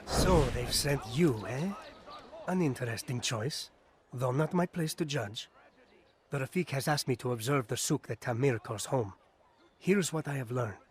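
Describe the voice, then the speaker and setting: A knowing informer played by a man lays out what he has seen in an even, wry tone. His voice sits at an ordinary middle pitch. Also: measured pace, lightly acted. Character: dry, knowing male informer. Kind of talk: video game cutscene acting.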